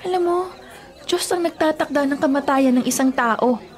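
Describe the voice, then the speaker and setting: A woman's voice: high-pitched, normal speed, natural voice. A woman playing a skeptical, defiant younger sister in a radio drama, exasperated and talking back. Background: music.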